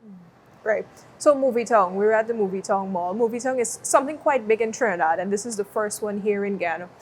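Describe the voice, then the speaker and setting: A woman's voice: mid-range voice, brisk, low-quality recording. Polite female interviewer, faint room noise. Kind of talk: interview questions.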